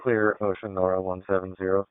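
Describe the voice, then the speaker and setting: A man's voice: low-pitched, little pitch movement, brisk, smooth. A man radioing dispatch from an emergency unit, terse and clipped, over a two-way radio. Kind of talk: brief radio traffic. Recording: tinny narrow-band radio transmission.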